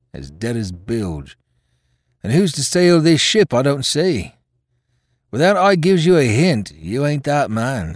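A man performs a rough old pirate seaman from a storybook, wounded and sly. His speech is rustic and ungrammatical, the pitch rising and falling widely. The sound is dry and close. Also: dry recording. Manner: rustic ungrammatical sailor talk. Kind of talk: storybook character voice in audiobook.

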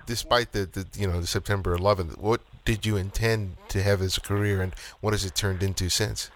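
A male podcast host with a very low voice leads the interview. He strings several questions together in one long, winding turn. A faint hiss sits under his voice. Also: steady tone, a little fast. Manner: long, rambling multi-part questions. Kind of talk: interviewing a guest.

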